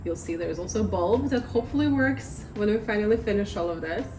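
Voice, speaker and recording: A woman in her thirties chatting casually in a vlog at her table at home, rambling and self-deprecating, her voice slightly raspy from talking too much. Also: mid-range voice, expressive, a little fast, background noise. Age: 30s. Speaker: vlogger.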